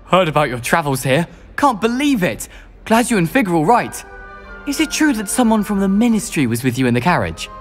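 A man's voice: sits high, sing-song, fairly quick, soft background music. A young man playing a friendly, chatty teenage wizard with a British accent, speaking in clipped phrases. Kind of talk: scripted game dialogue.

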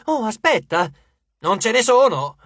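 A man's voice: high-pitched, big pitch swings, a little slow, a bit bright, slightly rough, no room sound, studio quality, voice pushed up, heavily acted. A man voicing a furious, haughty teenage goddess in an audiobook, exclaiming with imperious indignation. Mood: angry, scornful. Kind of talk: audiobook character voice.

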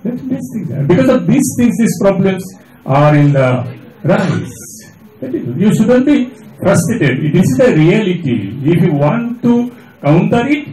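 A man's voice: high-pitched, big pitch swings, measured pace, dark tone. An older man with an Indian accent answers as a panelist, lecturing emphatically with rhetorical questions. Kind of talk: public panel discussion. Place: large indoor auditorium. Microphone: handheld PA mic, heard from the audience camera. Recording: echoey hall PA, camera from a distance.

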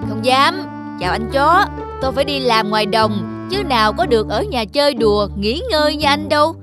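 Woman voicing a grumbling, high-voiced mother buffalo. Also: expressive, brisk, over music. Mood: scornful. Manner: grumbling, sarcastic. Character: resentful, weary mother buffalo, scornful of others. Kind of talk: dubbed cartoon fable acting.

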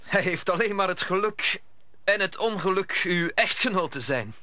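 A man's voice: high-pitched, expressive, moderate pace, sharp tone, no room sound. A man playing a gallant, earnest young musketeer in a radio play, declaiming his lines. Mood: earnest, courteous.

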